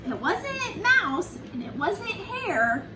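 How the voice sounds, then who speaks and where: A woman's voice: very high voice, big pitch swings, slow pace. A woman reading a picture book aloud to young children, in a sing-song manner with chatty asides addressed straight to them. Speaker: children's storyteller, early childhood educator. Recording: roomy, distant mic, faint background noise.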